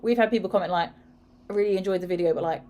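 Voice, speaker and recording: A woman with a fairly deep voice, co-hosting a casual chat in a steady, fairly even manner. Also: rapid-fire. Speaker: co-host.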